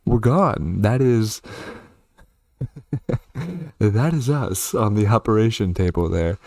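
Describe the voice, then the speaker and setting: A man hosting a horror game playthrough, reading and commenting in an expressive, theatrical way. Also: on the low side, slow pace, dry recording, studio quality. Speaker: gaming video host.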